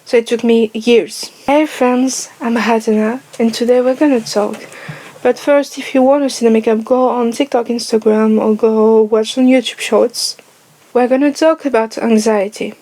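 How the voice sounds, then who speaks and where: A woman's voice: fairly high pitch, steady tone, moderate pace, dark tone, rough recording. A young French woman speaking English as a second language, with a French accent, talking carefully and searching for words in a casual personal vlog. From France. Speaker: vlogger. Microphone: headphones on, speaking to camera.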